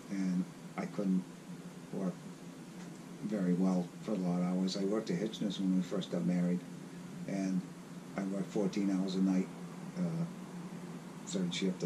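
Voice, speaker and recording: Older man, raspy voice, deep, halting storyteller, clear background hiss. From South Carolina. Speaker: interviewee. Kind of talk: casual reminiscing.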